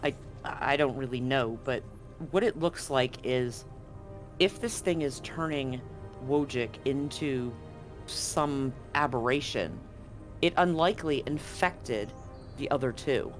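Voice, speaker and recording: A man narrating a live tabletop game as game master, conversational and often halting to correct himself. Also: mid-range voice. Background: music.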